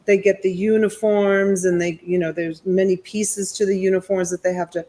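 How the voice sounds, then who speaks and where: A middle-aged woman from Italy, whose first language is Italian, tells a personal story at a medium pitch. She hosts a casual live chat and rambles through her story with fillers and restarts. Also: little pitch movement, normal speed, mellow. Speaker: host.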